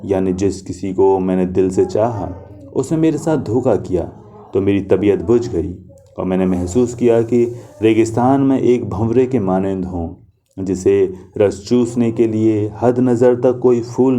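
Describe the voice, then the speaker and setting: Brief: young adult male storyteller, low and very dark-toned, slow reflective delivery. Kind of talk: literary story reading.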